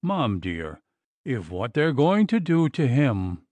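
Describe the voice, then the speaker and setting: A man's voice: medium pitch, sing-song, slow pace, a little mellow, no room sound. A man reading an audiobook, voicing a gossipy maidservant in a gushing, dramatic manner. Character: gossipy Irish maidservant, woman.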